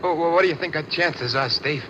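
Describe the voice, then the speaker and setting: A panicky young male bank robber, played by an actor, pleads in a high, anxious voice. The acting is from old radio drama, with plenty of noise on the recording. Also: brisk, muffled recording, natural voice. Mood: panicked. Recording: very noisy 1940s radio recording.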